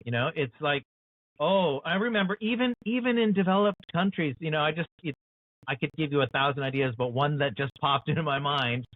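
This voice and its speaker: A man's voice: a little high, fairly quick, no echo. A man with an American accent, speaking as an author in an earnest interview discussion, full of passion and "you know" fillers. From United States. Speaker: author guest. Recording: dry, tinny phone-like call audio.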